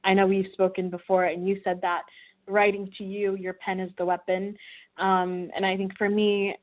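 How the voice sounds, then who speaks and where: A woman's voice: mid-range voice, little pitch movement, normal speed. A young woman from the American Midwest, a filmmaker and student being interviewed, speaking reflectively with many fillers and restarts. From Midwestern US, Wichita, Kansas. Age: young adult. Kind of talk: interview over a call. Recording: tinny phone-like line.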